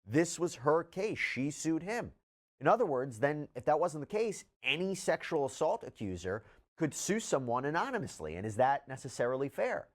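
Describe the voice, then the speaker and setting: A man's voice: fairly high pitch, sing-song, moderate pace. A man delivering scripted legal news commentary in an explanatory, emphatic way.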